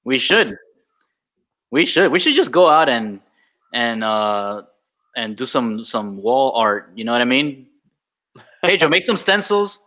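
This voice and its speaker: Bright, forceful-voiced man bantering. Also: mid-range voice, sing-song, unhurried, pauses often, low-quality recording. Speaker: podcast co-host. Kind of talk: casual group chat.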